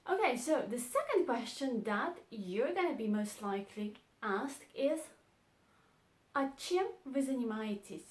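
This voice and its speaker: Echoey woman teaching. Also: on the high side. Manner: slow, clear model phrases, repeated twice. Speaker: Russian teacher. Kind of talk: language lesson.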